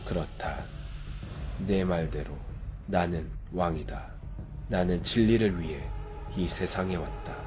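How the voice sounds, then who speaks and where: A deep, solemn holy man played by an actor who stays calm and merciful under trial. He speaks in measured phrases over clear background music, as in a children's Bible drama. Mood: calm, resolute.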